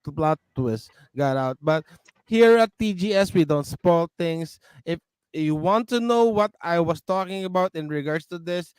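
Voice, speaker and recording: A man's voice: on the high side, lively intonation, a little slow, a little mellow, clear, resonant tone, no room sound, poor audio. A middle-aged man co-hosting a casual panel discussion about video games. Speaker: gaming show host.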